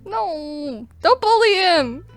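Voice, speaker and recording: A young woman who streams games, giving gushing, excitable reaction commentary. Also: very high voice, takes it slowly, no echo. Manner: gushing, giggly, exclaiming. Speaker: game streamer. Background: game music.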